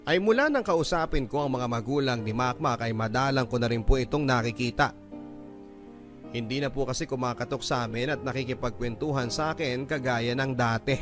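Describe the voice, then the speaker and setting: Calm male radio storyteller. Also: mid-range voice. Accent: Filipino. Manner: calm, reflective, measured. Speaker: radio drama narrator. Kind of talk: scripted storytelling narration. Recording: soft guitar music underneath.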